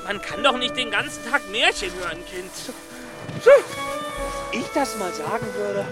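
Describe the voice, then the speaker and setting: An actor playing a kindly, fretful storyteller with a childlike heart in a children's radio play, exclamatory and earnest. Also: male voice, sits high, animated, unhurried, low-quality recording. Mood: reluctant, indulgent. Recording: studio radio play with music.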